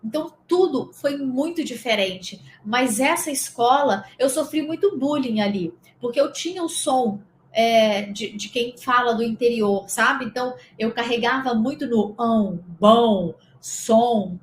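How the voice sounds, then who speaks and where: A woman's voice: a little high, measured pace, hardly any pauses, reverberant, slight background noise. A Brazilian woman from the interior of Espírito Santo, a spiritual coach giving a casual guided lesson, talking straight to the listener in a rambling, chatty way. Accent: Brazilian Portuguese. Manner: conversational, rambling, speaks directly to the listener.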